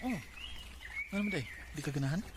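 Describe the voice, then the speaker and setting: A man's voice: on the high side, quick pace, natural voice. A man with a Filipino Cebuano accent acting an earnest, unlucky-in-love bachelor suitor in a radio drama. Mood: tender, hesitant. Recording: very noisy radio drama with ambience.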